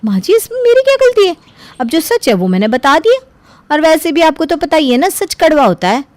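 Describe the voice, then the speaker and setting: A woman's voice: high-pitched, very animated, brisk, a little mellow, no echo, voice pushed up. A woman voicing a brash, self-righteous young daughter-in-law in a cartoon, arguing back defensively. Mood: defiant. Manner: butts in, argues back, defensive. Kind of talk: cartoon voice acting.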